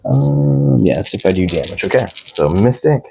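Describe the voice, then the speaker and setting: A man's voice: fairly low pitch, very animated, normal speed, vocal fry, noisy background. A man playing a tabletop game as the opponent, chatting relaxedly about his plays. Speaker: co-host, opponent player. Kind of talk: casual tabletop game talk.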